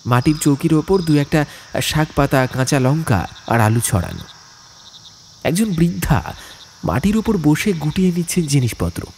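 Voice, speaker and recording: Male narrator for a ghost story, slightly high voice, animated intonation, suspenseful delivery, faint insect ambience behind. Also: moderate pace, slight background noise. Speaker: audiobook narrator. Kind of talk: dramatic horror story narration.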